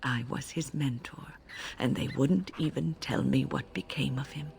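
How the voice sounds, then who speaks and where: Regretful elderly woman mage with creaky voice. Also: extremely low pitch, darkish tone, heavily acted. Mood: grieving, remorseful. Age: older. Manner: measured, reflective, confessional, emotive. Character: regretful elderly female mage mentor, grave and compassionate. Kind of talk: dramatic scripted game dialogue, storytelling.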